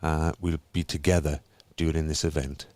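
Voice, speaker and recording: A man co-hosting an online group, reading announcements and reminders in a measured, instructive way over a video call. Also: very deep voice, level tone, a little mellow, dry recording.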